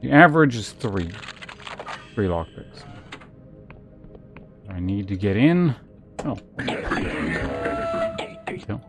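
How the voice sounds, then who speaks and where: A man with a fairly low voice gives casual commentary as he plays a video game. He rambles and thinks aloud. Music plays clearly behind him. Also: big pitch swings, slow, long pauses. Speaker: game streamer. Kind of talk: casual live gameplay commentary.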